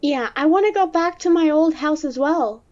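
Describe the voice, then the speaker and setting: A woman's voice: sits high, moderate pace, darkish tone, muffled recording, close to an everyday voice. A woman voicing a casual, eager youngster in an audio drama.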